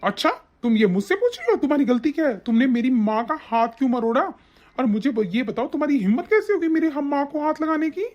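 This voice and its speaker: A woman's voice: a little high, animated, fast talker, hardly any pauses, faint music behind, lightly acted. A woman playing an angry, domineering young husband and devoted son, bossy and accusing in a family melodrama. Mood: furious, accusing. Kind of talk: domestic family melodrama acting. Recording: dull, muffled lo-fi sound.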